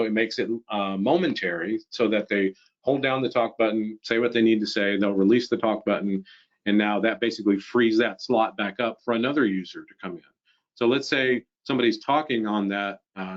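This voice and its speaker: A middle-aged American man with a low voice explains a product in a webinar. He talks conversationally, as if walking people through slides. The audio is slightly dull and lo-fi, like a video call from a small room. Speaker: webinar presenter, product trainer. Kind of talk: informal product webinar presentation.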